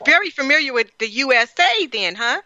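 A woman's voice: high-pitched, big pitch swings, fairly quick, sharp tone. Female radio host, effusive, lo-fi and dull-sounding, reads her intro then chats. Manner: effusive, gushing reactions. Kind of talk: radio interview, reading a scripted introduction. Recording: dull lo-fi, dry.

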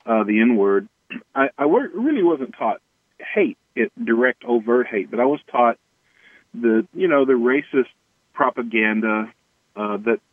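An American man from Tennessee telling his personal story earnestly and haltingly as an interview guest. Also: medium pitch, animated, no room sound. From Tennessee, United States. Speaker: interview guest, anti-racism activist. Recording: tinny band-limited phone-like line.

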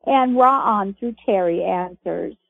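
A woman reading a transcript aloud in a measured, deliberate way over a telephone. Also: medium pitch, creaky, breathy edge, dry recording. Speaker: reader of archived messages on a conference call. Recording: muffled phone-line audio.

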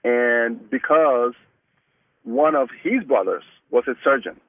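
Man from cameroon, mungaka first language, earnest halting talk, tinny phone-like sound, dry. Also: medium pitch, animated, no room sound. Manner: earnest, halting with many restarts. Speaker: guest. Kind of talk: casual interview talk.

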